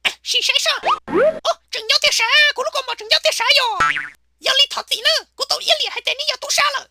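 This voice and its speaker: A woman voicing an excitable, shrill comic cartoon character with a squeaky, creaky voice, prone to bursting into shouts. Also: quick pace, dry recording, clean studio sound, soft background music, exaggerated voice. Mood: chattering.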